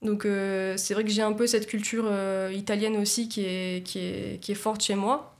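A woman's voice: medium pitch, flat delivery, moderate pace. A young woman from Nice, a guest in a podcast interview, speaking hesitantly with many restarts. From Nice, France. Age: young adult. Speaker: guest, agency co-founder.

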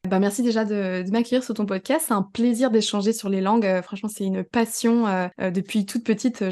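Young woman coach chatting as a guest, lots of hesitating, gravelly mellow voice. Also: mid-range voice. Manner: hesitant, many fillers and restarts. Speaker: English coach, podcast guest. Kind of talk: casual interview answers.

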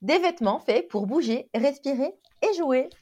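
A woman hosting a podcast with a slightly higher voice than most. She reads her introduction in a gentle, measured way. Her questions come with a few soft fillers. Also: animated. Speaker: podcast host. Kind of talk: scripted intro then interview.

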